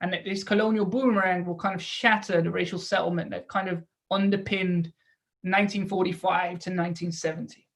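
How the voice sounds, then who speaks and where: A woman's voice: fairly low pitch, steady tone, fairly quick. Woman giving an explanatory lecture. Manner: explanatory, with rhetorical questions and check-in tags. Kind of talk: lecture to an audience.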